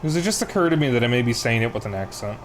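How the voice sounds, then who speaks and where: A man's voice: medium pitch, racing, faint music behind. Easygoing man streamer with a Chicago accent. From Chicago area, USA. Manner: relaxed, rambling, chats with viewers between game moments. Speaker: live streamer. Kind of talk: casual chat while playing a video game.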